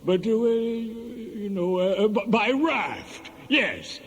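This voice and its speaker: An actor playing a doddering, genial old king of the gods in a radio play, hesitant and halting. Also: high voice, animated, husky, full character voice. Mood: genial, dithering. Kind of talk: 1970s radio play acting.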